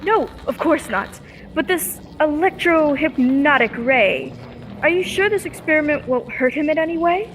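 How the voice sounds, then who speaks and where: A woman playing a spirited, caring young heroine in an old-time radio space serial, earnest and expressive. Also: high-pitched, normal speed, long reverb tail, slight background noise, rough recording. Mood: alarmed, worried.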